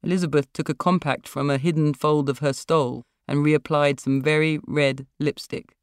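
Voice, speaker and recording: A woman's voice: deep voice, steady tone, moderate pace, clear, resonant tone, no room sound, studio quality. A woman author reading her own literary fiction as narrator.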